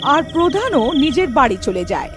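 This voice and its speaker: A woman narrating a cartoon horror tale in a lilting, expressive storytelling style. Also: high-pitched, normal speed, music in the background.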